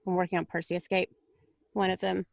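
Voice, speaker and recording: A woman's voice: lowish voice, flat delivery, fast talker, vocal fry, dry recording, studio quality. A woman talking casually and chattily as an audiobook narrator on a panel.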